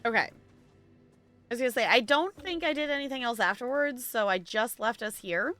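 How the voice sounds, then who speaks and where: A woman with a lively, swooping delivery and a fairly high voice chats casually to her stream viewers. She rambles along in a giggly, conversational way, with music playing behind her. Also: a little fast. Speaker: live game streamer.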